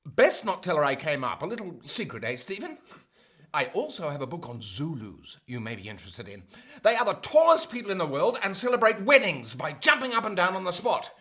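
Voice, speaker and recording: A man playing an excitable, playful Victorian gentleman in a period radio play, with a breathy, husky voice. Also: fairly high pitch, brisk. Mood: playful enthusiasm.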